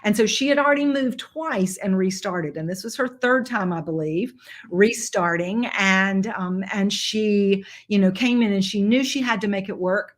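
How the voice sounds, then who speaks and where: A middle-aged woman hosts a sales meeting over a video call. She talks in a chatty, rambling way full of ums and restarts, at a middle pitch. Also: moderate pace. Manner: chatty, warm-hearted storytelling with fillers. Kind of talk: casual introduction on a video call.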